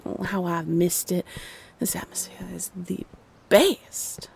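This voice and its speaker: A woman playing a playfully affectionate, teasing love spirit who guides unseen, with a purring, drawn-out delivery in a roleplay audio drama. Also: on the low side, vocal fry, darkish tone, slight background noise, full character voice. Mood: dreamy, delighted.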